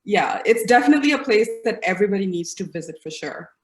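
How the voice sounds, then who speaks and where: A woman's voice: medium pitch, expressive, fast talker. Upbeat woman in her 30s from the uae, guest on an interview, lots of likes and ums, echoey room. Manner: chatty and upbeat, often trailing off with fillers. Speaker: interview guest, fashion blogger. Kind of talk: casual interview.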